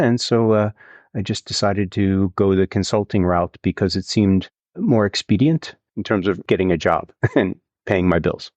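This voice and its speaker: A middle-aged man with a Swedish accent, a guest in a conversational interview, explaining in a measured way with some fillers. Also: on the low side, a little mellow, no room sound. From Sweden. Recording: dull, slightly lo-fi sound.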